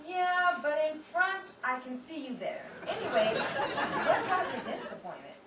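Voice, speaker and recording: A woman's voice: high voice, very animated, normal speed, a crowd in the background. A woman playing a cautious, practical young friend in a theatrical 1930s New York radio comedy. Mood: wary. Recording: noisy roomy stage recording, distant mic, audience.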